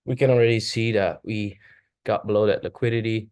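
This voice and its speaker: A man whose first language is Dutch, casually thinking aloud through a chart walkthrough, halting and correcting himself as he goes. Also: fairly low pitch, fairly even intonation, a little mellow, clean tone, dry recording, clean studio sound. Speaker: forex trader.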